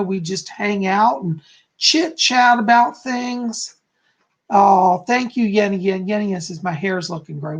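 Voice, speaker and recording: A man with a hoarse, slightly raspy voice, chatting casually from home as a psychic hosting his live stream and greeting viewers effusively. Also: sits high. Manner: chatty, effusive, frequent "okay?" and "you know".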